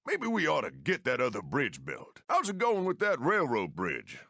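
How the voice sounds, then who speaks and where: A friendly frontier railroad engineer from a video game, with an American accent and a folksy, colloquial way of talking. His pitch is mid-range and swings very widely as he talks. The recording is dry and close, with no room sound. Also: huge pitch swings, normal speed, gravelly, a bit bright, no echo, full character voice. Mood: helpful, earnest. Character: friendly folksy Old West steam train engineer. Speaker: mission-giver game character. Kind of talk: animated video game voice acting.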